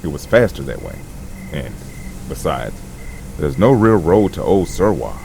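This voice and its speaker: A man playing a low, gravelly, practical medic. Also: very animated, a little slow, mellow, a little hiss or hum, exaggerated voice. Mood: matter-of-fact. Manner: casual, matter-of-fact. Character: practical, folksy male medic, fantasy companion.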